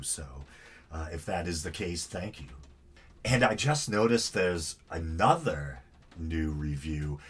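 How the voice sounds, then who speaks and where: Stuffy, deep-voiced male podcast host. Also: a bit bright, husky. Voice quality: stuffy, nasal. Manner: rambling, self-deprecating, easygoing. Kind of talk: casual solo podcast monologue.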